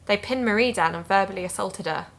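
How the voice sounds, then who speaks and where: An actress playing an earnest woman amateur sleuth who hosts an investigative podcast, narrating calmly. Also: medium pitch, fast, gravelly. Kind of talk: audio drama narration and dialogue.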